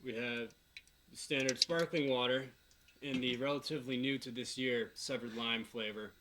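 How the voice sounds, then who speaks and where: A young man in his twenties talks as a band guest, chatty and playful, his voice bright and forceful. A faint hiss and small clicks sit behind him. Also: mid-range voice, fairly even intonation, moderate pace. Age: 20s. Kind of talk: casual chat.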